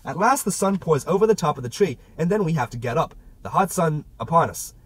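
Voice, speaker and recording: A man's voice: fairly high pitch, lively intonation, brisk, breathy edge, no echo. A man narrating a literary novel as an amateur audiobook reader, with a theatrical delivery.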